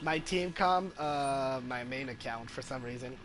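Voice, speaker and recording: A man's voice: mid-range voice, unhurried. A man giving casual gameplay commentary as a streamer. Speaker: game streamer. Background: game music.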